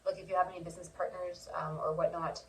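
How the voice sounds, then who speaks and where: A soft-spoken woman co-hosting a casual chat, explaining step by step with many pauses and ums. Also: fairly low pitch, little pitch movement, far from the mic, a little hiss or hum, rough recording. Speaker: co-host.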